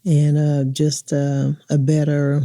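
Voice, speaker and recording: A woman in her sixties chats casually and reflectively as an interview guest. Her voice is deeply mellow and relaxed, with plenty of creak. The sound is dry and close. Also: takes it slowly, no room sound. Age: 60s.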